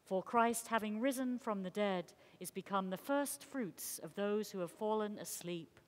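A woman's voice: medium pitch, a little slow. A woman reading a homily as clergy, in a solemn liturgical style. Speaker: clergy reader.

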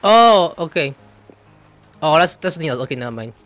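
A man's voice: a little high, very animated, brisk, a bit bright. A man streaming a video game, commenting casually and reading dialogue aloud. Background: game music.

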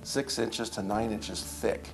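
A man's voice: lowish voice, slow pace. A man who is an emergency physician, recalling his early training in a documentary interview, rambling and anecdotal with many fillers.

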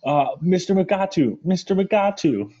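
A man's voice: high-pitched, lively intonation, normal speed, dark tone. Man reading a persistent reporter, slightly muffled. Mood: pleading. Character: persistent, polite magazine reporter, a woman. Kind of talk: comedy table read. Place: indoors at home on a video call. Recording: slightly dull video call audio.

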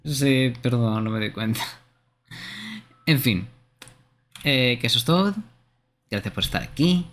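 A man's voice: mid-range voice, expressive, unhurried, long pauses, a bit bright. A man hosting a gaming livestream with casual, conversational chat. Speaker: streamer, host.

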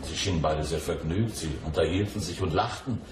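An actor playing an obliging, precise bank cashier in a crime radio play, explaining things in detail. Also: male voice, low-pitched, brisk, natural voice. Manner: animated, explanatory. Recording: roomy echo, clear background noise.

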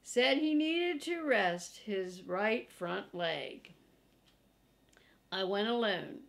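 A woman's voice: medium pitch, expressive, drawn-out words, gravelly, a bit bright. An older woman reading a children's chapter book aloud as a storyteller. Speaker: storybook reader. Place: living room, seated in an armchair.

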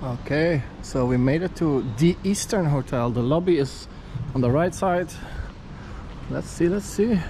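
Male travel vlogger, thirties, slightly higher voice, casual thinking-aloud delivery, noisy handheld camera audio. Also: a little slow. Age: 30s. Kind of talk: casual spoken-as-it-happens travel vlog narration. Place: city street, then hotel lobby, lift, corridor and room. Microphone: action camera held at arm's length.

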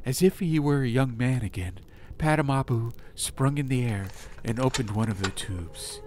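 A man reading an atmospheric fantasy audiobook in a measured, dramatic way. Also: medium pitch. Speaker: audiobook narrator. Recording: music behind.

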